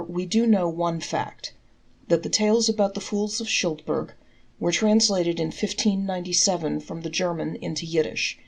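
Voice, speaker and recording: A woman reading folktales aloud in a measured, articulate storybook narration. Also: on the low side, gravelly.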